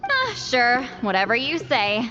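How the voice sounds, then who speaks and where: High, lively brash game granny. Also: female voice, measured pace. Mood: brash, exasperated. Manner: blunt, boastful, teasing. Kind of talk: dramatic video game voice acting. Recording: dull game audio, music behind.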